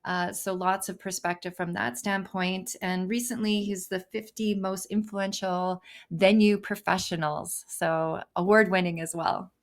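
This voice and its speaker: A woman's voice: lowish voice, level tone, unhurried, hardly any pauses. A woman co-hosting a podcast, chatting in a cheerful, friendly way. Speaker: podcast co-host. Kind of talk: casual podcast chat.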